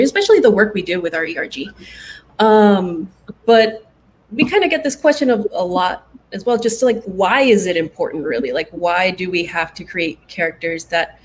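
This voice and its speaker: A woman's voice: on the low side, slight background noise. A woman from Puerto Rico moderating a panel discussion in a conversational style. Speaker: panel moderator, game UX designer.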